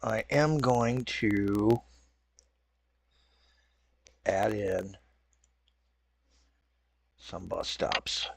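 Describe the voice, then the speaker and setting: A man talks through his strategy game while fighting a head cold, his nose stuffed and his throat raw. His voice sits low with a gravelly, husky edge. It sounds close and dry, and he rambles, backtracks and apologizes as he goes. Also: extremely slow, long pauses, no echo. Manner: rambling, self-correcting, apologetic. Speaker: gaming channel host. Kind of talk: casual let's-play commentary.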